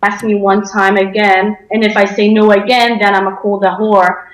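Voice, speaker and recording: A woman's voice: mid-range voice, little pitch movement, moderate pace. Hesitant young woman, echoey room. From Denmark. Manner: hesitant, many fillers and restarts. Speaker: interview guest. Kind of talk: personal testimony in an interview.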